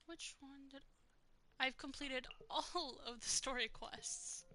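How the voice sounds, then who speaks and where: A young woman with a soft voice, chatting casually and laughing as she streams a video game. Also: sits high, takes it slowly, slight background noise. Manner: rambling, chatty, laughs often. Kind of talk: casual gameplay commentary. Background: game music.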